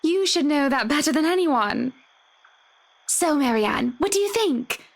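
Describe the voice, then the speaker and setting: A high, gushing lady employer. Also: lively intonation, a little slow. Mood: businesslike. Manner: endearing, calls others dear and love, effusive. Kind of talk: voiced video game dialogue.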